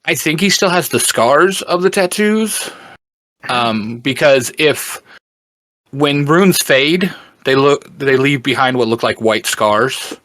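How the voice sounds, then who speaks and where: Man in casual, halting explanation, close dry sound. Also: mid-range voice, animated, fairly bright tone, no room sound. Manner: halting, searching for words, often chuckling. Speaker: podcast guest and fan explaining a book series. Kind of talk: casual explanatory podcast chat.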